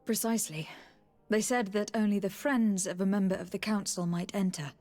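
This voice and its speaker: A woman's voice: medium pitch, fairly even intonation, normal speed, darkish tone, soft background music, close to an everyday voice. Composed, determined young noblewoman played by an actress. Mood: concerned, resolute. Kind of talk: video game cutscene acting.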